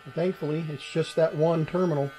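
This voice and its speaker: A middle-aged man gives a casual hands-on tutorial as he works, thinking aloud in an unhurried way. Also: fairly high pitch, steady tone, noisy background. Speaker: electronics repair hobbyist and host. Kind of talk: casual hands-on tutorial narration while working. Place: small indoor workbench.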